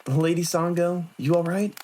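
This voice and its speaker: Woman acting a young male monk, low pitch for a woman, courteous, measured, earnest, anime audio drama. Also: fairly even intonation, mellow, lightly acted. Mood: tender, earnest.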